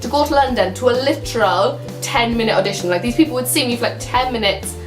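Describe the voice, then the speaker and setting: A woman's voice: on the high side, fast talker, roomy sound, music in the background. A young woman with a Welsh accent telling a story-time vlog in a chatty way, full of "like" and repeated words. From Wales. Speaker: vlogger.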